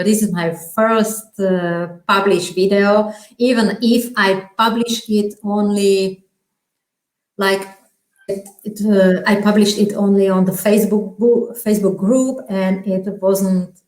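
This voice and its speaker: Slow-talking woman with a Slovak accent. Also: mid-range voice, fairly even intonation, a little mellow, reverberant, rough recording. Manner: halting, searching for words, frequent restarts and laughs. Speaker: interview guest. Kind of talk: casual interview chat.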